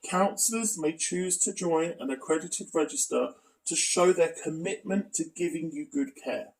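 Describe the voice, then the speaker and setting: A man's voice: a little high, normal speed, recorded from a distance, poor audio. A man giving critical, explanatory commentary as a video commentator.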